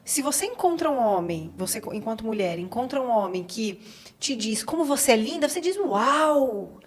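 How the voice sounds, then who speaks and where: A woman's voice: on the high side, sing-song, slightly rough. A middle-aged Brazilian woman hosting a podcast, speaking conversationally in a didactic, emphatic way. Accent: Brazilian Portuguese. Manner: didactic, emphatic, deliberate listing. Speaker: podcast host. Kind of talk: conversational interview with explanations.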